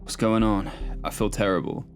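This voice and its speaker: A man voicing a shaken, weary male detective with a subdued, husky delivery, in an audiobook. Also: fairly low pitch, normal speed, soft background music, close to an everyday voice.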